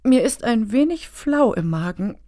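A woman's voice: a little high, lively intonation, a little slow, mellow, dry recording, studio quality. A woman playing an earnest, preachy progressive panel member in a satirical radio play, speaking pointedly. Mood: uneasy.

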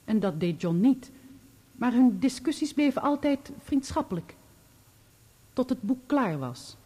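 A composed, loyal woman on the witness stand. Her voice is soft-edged and mellow, and she answers in measured, formal sentences, in radio drama style. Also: on the high side, very animated, slightly rough, heavily acted. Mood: composed, assured. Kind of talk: Dutch radio play acting.

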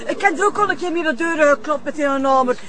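A busy location with people clearly around. An actress plays a fussy, flustered widow from next door, chattering and repeating herself in folksy Flemish tones for a television drama. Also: female voice, high-pitched, fast, over a noisy crowd, natural voice. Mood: helpful, flustered. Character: fussy, nervous, chatty widowed female neighbour. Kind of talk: TV drama acting.

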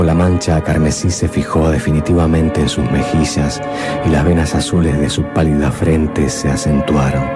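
Deep bass male narrator, solemn gothic reading over loud music; radio. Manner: solemn, measured literary narration. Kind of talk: dramatic reading of a gothic tale. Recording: radio broadcast with music bed.

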